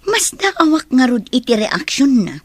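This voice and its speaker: A woman's voice: high-pitched, very animated, normal speed, breathy edge, no room sound, heavily acted. A woman with an Ilocano accent playing a polite, gossipy schoolteacher in an audio drama. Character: inquisitive, gossipy female schoolteacher, polite to colleagues.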